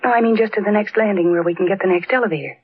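An actress playing an anxious, dreamy young newlywed in a 1940s radio drama, speaking wistfully in short dramatic phrases. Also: female voice, mid-range voice, rapid-fire, close to an everyday voice. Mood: gently coaxing. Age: young adult. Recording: muffled, hissy old radio recording.

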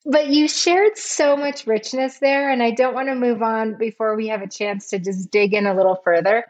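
A woman's voice: a little high, fairly even intonation, normal speed, a little mellow, muffled recording. A woman hosting an interview podcast, reading a scripted welcome and then asking questions in an upbeat, enthusiastic way. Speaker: podcast host.

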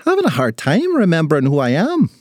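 Chatty little irish leprechaun, high swoopy voice, forgetful and feisty, storybook style. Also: male voice, huge pitch swings, quick pace, a little mellow, no room sound, studio quality. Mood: dazed, confused.

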